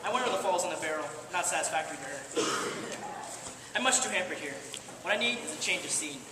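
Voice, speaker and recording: A woman's voice: fairly low pitch, expressive, quick pace, close to an everyday voice. A woman on stage playing a grumpy, exasperated young Adam, speaking in an indignant, pompous, theatrical way. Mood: aggrieved, boastful. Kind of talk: stage play dialogue, theatrical delivery. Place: amateur stage performance in a large hall. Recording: echoey hall from far off, noisy.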